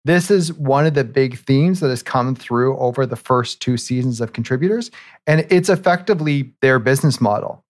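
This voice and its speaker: A man from Canada hosting a business podcast. He talks in an enthusiastic, conversational way. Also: medium pitch. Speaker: podcast host. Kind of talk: podcast interview.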